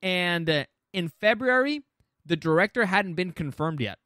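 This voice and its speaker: A man's voice: high-pitched, animated, moderate pace, sharp tone, no room sound, studio quality. A man hosting a casual movie chat, opinionated and emphatic, swearing freely. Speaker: podcast host.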